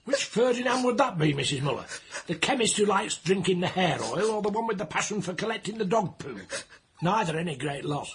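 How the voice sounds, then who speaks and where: A man's voice: sits high, big pitch swings, brisk, slightly rough, heavily acted. An actor playing a wry, sardonic Czech rogue who rambles through his war memories as a comic radio narrator. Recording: music under the voice.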